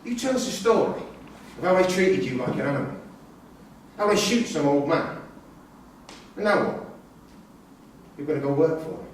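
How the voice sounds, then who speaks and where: Animated, hard-edged man in echoey hall, acted. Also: fairly high pitch, moderate pace, pauses often, natural voice. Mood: indignant. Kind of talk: staged reading of a play. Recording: distant mic in echoey room, noisy.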